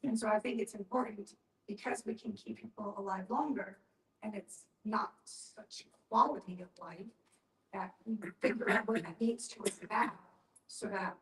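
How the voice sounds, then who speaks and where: A woman speaking reflectively and explaining her view in a group discussion, repeating phrases for emphasis. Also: mid-range voice. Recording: echoey room, distant mic.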